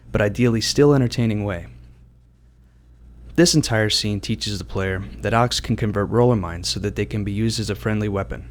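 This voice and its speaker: A man who develops games, giving scripted commentary on design. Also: fairly low pitch. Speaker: game developer.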